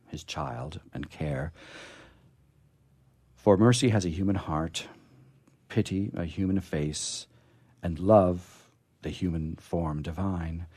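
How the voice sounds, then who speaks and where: Male, very low voice, poetry reader, measured delivery, casual commentary. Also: a little mellow, husky. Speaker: poetry reader and musician on a radio program.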